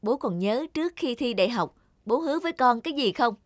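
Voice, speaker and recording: A woman voicing an excited, eager teenage daughter in a cartoon story. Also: fairly high pitch, a little fast, no room sound, studio quality, close to an everyday voice. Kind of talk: cartoon moral-story voice acting.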